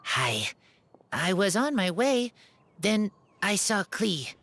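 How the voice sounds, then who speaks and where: An actor playing a gentle, taciturn wild teenage boy in a video game, speaking in halting, terse, simple phrases. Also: medium pitch, very animated, a little hiss or hum. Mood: subdued. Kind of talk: video game cutscene acting. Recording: clear music behind the voice.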